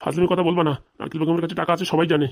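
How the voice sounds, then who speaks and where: A woman's voice: sits low, level tone, rapid-fire, darkish tone, close to an everyday voice. A woman plays an exasperated cartoon police officer. The questioning is nagging and accusing, delivered at a breakneck pace with a weary edge. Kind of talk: comic cartoon dialogue.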